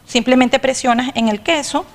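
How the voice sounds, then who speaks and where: A middle-aged woman, a catering guest who explains in halting bits as she assembles food on a TV studio set. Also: high-pitched. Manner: halting, restarts while working with hands. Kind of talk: demonstrating while explaining. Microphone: lapel mic.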